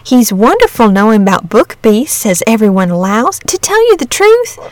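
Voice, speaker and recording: A woman reader puts on a kindly storybook countrywoman, a sensible mother. She reassures with homely rustic phrases. Her voice is pitched up and recorded very dry. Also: sing-song, moderate pace, no room sound. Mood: kindly, reassuring. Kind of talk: storybook character reading.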